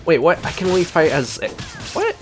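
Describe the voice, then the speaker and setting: A man's voice: on the high side, wildly swooping pitch, fairly quick, music in the background. A man giving casual, blunt gameplay reaction commentary as a streamer, partly muttering to himself. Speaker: gaming streamer.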